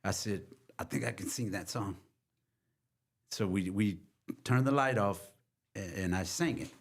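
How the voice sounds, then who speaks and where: An older man from Texas, a guest musician, telling long rambling stories in a relaxed chat. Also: on the low side, unhurried, creaky, slightly rough. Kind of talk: casual interview storytelling.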